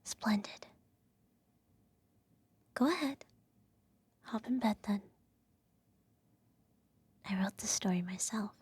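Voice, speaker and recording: A woman playing a regal, self-righteous evil empress who soothes her child with a whispered ASMR bedtime story in a measured, gently condescending way. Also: mid-range voice, animated, no room sound, full character voice. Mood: doting, coaxing. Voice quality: soft, breathy whisper. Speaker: ASMR roleplay performer.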